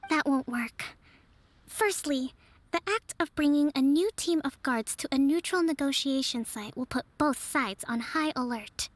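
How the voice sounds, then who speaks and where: A woman voicing a young, calm strategist who leads an island, measured and soft-spoken. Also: high-pitched. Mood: analytical. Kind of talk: video game voice acting.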